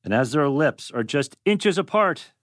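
A man's voice: on the high side, very animated, unhurried, fairly bright tone, no room sound, studio quality. A man reading stage directions as the narrator of a scripted table read.

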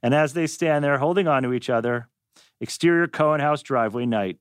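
A man narrating stage directions from a script in an animated way. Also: mid-range voice, fairly quick, clear, resonant tone, no echo, clean studio sound.

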